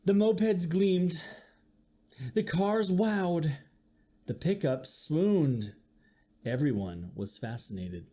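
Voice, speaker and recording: A teacher reading a picture book aloud to young listeners, expressively and with chatty asides. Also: medium pitch. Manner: slow, expressive, with acted-out sound effects. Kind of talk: children's story read-aloud with asides to listeners.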